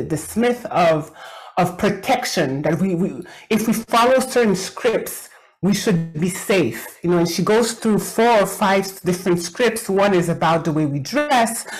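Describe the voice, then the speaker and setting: A middle-aged woman, French her first language, speaks on a webcam call from a home office. Her voice sits a little low, and she picks her words slowly, with restarts and fillers as she works through ideas. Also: poor audio. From Quebec. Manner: thoughtful, with frequent fillers and restarts. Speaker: academic discussant. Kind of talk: academic commentary on a book. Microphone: video call webcam.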